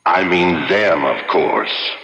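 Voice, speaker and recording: Deep, echoing ghostly husband. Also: male voice, a bit bright, highs cut off. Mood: aloof, musing. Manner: measured, philosophical, cryptic. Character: dead husband's ghost, calm, aloof, somewhat testy. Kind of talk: 1970s radio drama acting. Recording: band-limited old radio, long cavernous reverb.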